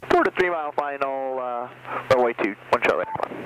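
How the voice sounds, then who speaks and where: A mid-pitched man answers as a pilot in short radio readbacks. The aviation channel is plainly noisy. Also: sing-song, fairly quick. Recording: aviation radio with cockpit noise.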